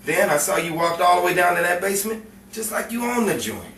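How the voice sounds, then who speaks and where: In a roomy, distant recording, a man acts out a menacing gangster boyfriend from a 1950s crime drama. His voice is forceful and fairly high, with slangy tough-guy swagger and a quick temper. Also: big pitch swings, vocal fry, exaggerated voice. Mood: smug, threatening. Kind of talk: 1950s crime melodrama acting. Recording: roomy, distant mic, faint noise.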